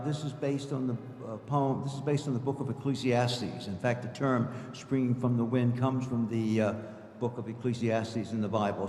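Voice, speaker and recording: A man with a medium-pitched voice hosts a live poetry event. He gives informal introductions, halting and doubling back on his phrases. The sound is echoey and reverberant, with faint noise behind him. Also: a little mellow. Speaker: event host introducing poets. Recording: echoey hall with background noise.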